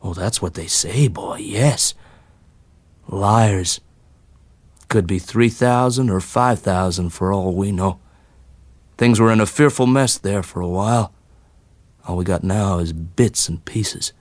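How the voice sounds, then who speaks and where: A man voicing a rough, folksy fellow, opinionated and bitter but kindly, in colloquial rustic speech. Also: sits low, takes it slowly, pauses often, no echo. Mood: knowing, grumbling.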